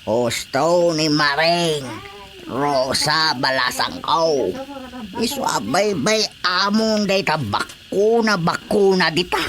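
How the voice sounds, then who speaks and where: A man's voice: on the high side, animated, slow pace, a crowd in the background. An actor playing an elderly Filipino village father, plain-spoken, firm and admonishing, in a radio drama.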